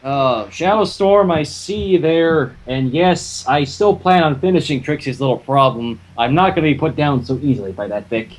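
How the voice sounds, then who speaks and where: Husky, higher-voiced man hosting casually. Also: expressive, normal speed, hardly any pauses, low-quality recording. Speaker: host reading fan fiction aloud. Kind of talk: casual group chat with dramatic reading.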